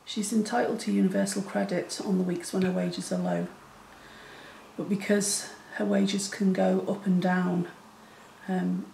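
Woman talking slow to camera, bit gravelly, echoey room with faint hiss. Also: fairly low pitch, a little mellow. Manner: slow, hesitant, earnest. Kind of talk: speaking to camera. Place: small room indoors.

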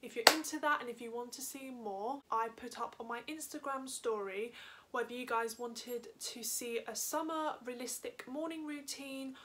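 A young woman with a fairly high voice talks brightly and casually to a camera set up in her bedroom, showing off her purchases with quick chatty asides. There is a faint hiss under the recording. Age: young adult. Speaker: lifestyle vlogger. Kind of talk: casual haul vlog talk to camera. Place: bedroom, indoors. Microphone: camera on a stand in front of her.

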